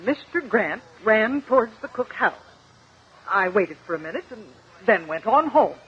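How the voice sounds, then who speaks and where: A woman's voice: medium pitch, animated, normal speed, close to an everyday voice. An actress playing a prim, self-assured and defensive married woman witness in a 1950s radio courtroom drama, with emphatic, clipped answers. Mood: earnest, insistent. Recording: dull, lo-fi vintage radio recording.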